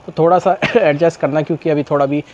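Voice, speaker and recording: A man's voice: fairly high pitch, steady tone, fast talker, a little mellow, dull audio. A young man talks casually to camera as a vlogger, with a slightly sore, coughy throat. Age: young adult. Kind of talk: casual vlog talk to camera. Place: open rooftop outdoors in a city. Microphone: clip-on lapel mic. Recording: noisy outdoor urban ambience.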